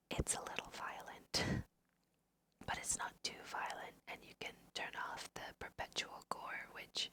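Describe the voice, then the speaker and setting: Young female ASMR gamer, hushed crisp whisper, very slow delivery, gentle guiding commentary. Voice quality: soft breathy whisper. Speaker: host of an ASMR gaming video. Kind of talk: ASMR let's play commentary.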